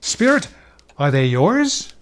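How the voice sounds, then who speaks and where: Humbled old man, acted by a male reader, timid and pleading, slow delivery, Victorian storybook. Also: fairly high pitch, very animated, a bit bright, slight background noise, pitched above the performer's own voice, full character voice. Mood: appalled. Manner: hesitant, appalled, pleading. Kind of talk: dramatic reading of a Victorian ghost story.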